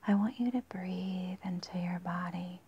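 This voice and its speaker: A woman leading a guided meditation in a soft, breathy, husky near-whisper with long pauses, in a dull, muffled recording. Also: on the low side, fairly even intonation.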